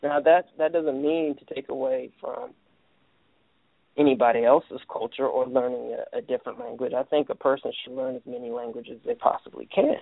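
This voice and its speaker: Gravelly man hosting call-in radio. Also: medium pitch, lively intonation, no echo. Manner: halting, many restarts and fillers. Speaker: radio show host. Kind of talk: casual call-in radio talk. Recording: narrow phone-like sound, dry.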